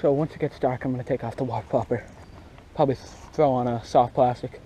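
Young dude vlogging his fishing, slangy n excitable, soft mellow tone, some outdoor noise on an action cam. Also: medium pitch, quick pace. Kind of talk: casual outdoor fishing commentary. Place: outdoors beside a grassy pond. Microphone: body-worn action camera.

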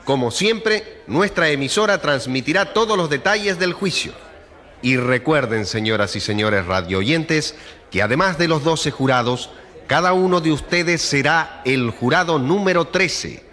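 A man's voice: a little high, expressive, a little slow, sharp tone. An actor playing a formal, emphatic male radio reporter announcing news live in a radio drama. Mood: formal, urgent. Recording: radio play with long hall reverb.

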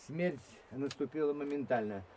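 An actor playing a detached police doctor in a radio drama, reporting in a clinical, matter-of-fact way. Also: male voice, mid-range voice, moderate pace, mellow, lightly acted. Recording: faintly noisy old radio recording.

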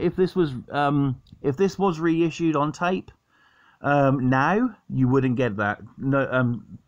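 A man giving a chatty, enthusiastic show-and-tell in a casual home vlog style. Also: mid-range voice. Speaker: video host showing a cassette collection. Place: indoors at home by a sofa. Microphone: handheld camera or phone mic. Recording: dull lo-fi camera audio.

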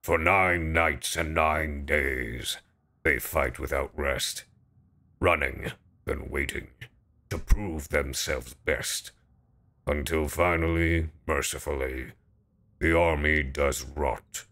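A man's voice: rumbling low, steady tone, slow pace, sharp tone. A man doing casual, profane, jokey livestream commentary while he plays a game, sometimes reading aloud theatrically. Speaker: streamer, gamer.

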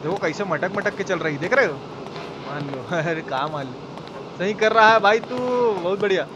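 A man's voice: sits high, animated, normal speed, dull audio, background noise. A man with an eastern Hindi, Bihari-style accent giving casual, slangy, exclamatory live commentary as a game streamer. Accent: eastern Hindi belt, Bihari-style.

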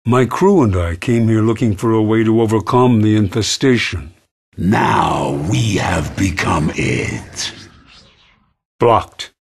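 An actor playing a corrupted male ship AI with a raspy, processed voice, torn between frightened pleading and a menacing hive voice in a video game. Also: on the low side, slow, full character voice. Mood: bitter.

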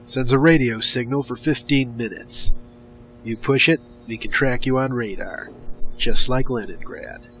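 An actor in an audio drama playing a hard, authoritative government official who briefs in clipped, short sentences. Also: male voice, medium pitch, measured pace, a bit bright, soft background music, close to an everyday voice. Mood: brisk, businesslike.

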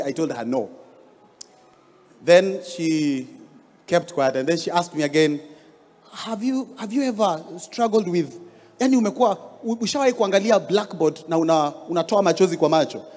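Kenyan pastor preaching in a reverberant hall. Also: male voice, on the high side, darkish tone. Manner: storytelling, rising emphasis on key words. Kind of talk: sermon with personal story. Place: large church hall stage. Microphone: handheld mic.

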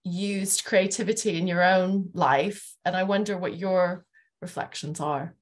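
Slightly low-voiced woman moderating. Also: fairly even intonation, measured pace. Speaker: host, moderator. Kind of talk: thoughtful panel discussion. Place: living room, indoors. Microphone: video call, laptop.

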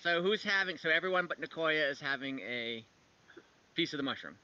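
A man's voice: on the high side, animated, fairly quick, sharp tone. A man running an online tabletop game over a video call, narrating casually and off the cuff in a hesitant, informal way. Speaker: game master. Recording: dull, lo-fi online call audio.

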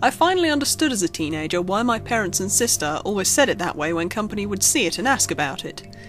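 A woman's voice: mid-range voice, very animated, quick pace. A woman reading a scripted horror story as a narrator, telling it expressively. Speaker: creepypasta narrator. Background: background music.

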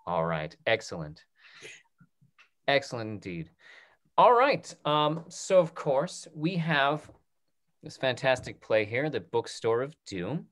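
A man in his thirties hosts casually over a video call. His pitch is middling but swings around in a lively way, and he speaks haltingly, restarting phrases as he goes. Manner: halting, repeats his words. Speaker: host of a theatre talk-back. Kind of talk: casual, hesitant moderating.

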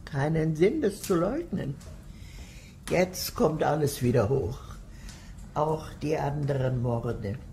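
A woman's voice: low voice, lively intonation, slow pace, mellow, a little hiss or hum, full character voice. An actress playing a sinister, domineering old aristocratic lady in a German radio thriller, savoring grim details. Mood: dark, brooding.

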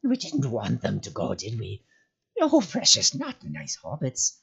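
Wretched cave creature, high-pitched whine, hissing rasp, cunning and pitiful, wildly swooping, storybook character, muffled recording. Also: male voice, wildly swooping pitch, unhurried, fairly bright tone, heavily acted. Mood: fearful hissing. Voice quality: raspy, hissing whispers breaking into shrill whine. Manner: whimpering, shrieking, speaks of himself as "we".

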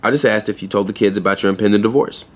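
An actor playing a wry, blunt young pet store clerk in an audio play, deadpan and sardonic. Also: male voice, fairly low pitch, racing, close to an everyday voice. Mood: probing, blunt. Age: young adult. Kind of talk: naturalistic audio play acting.

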